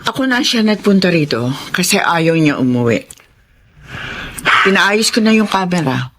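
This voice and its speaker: Remorseful, well-to-do middle-aged Filipino mother for radio melodrama: earnest pleading, very expressive intonation, faint background noise. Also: lowish voice, moderate pace. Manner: earnest, pleading, swooping emphasis.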